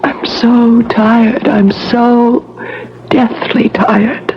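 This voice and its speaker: An actress playing a weak, languid young woman, a vampire's victim, in a 1970s radio drama, with a faint, halting delivery. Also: fairly high pitch, little pitch movement. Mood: exhausted, pleading. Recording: dull vintage radio, faint background noise.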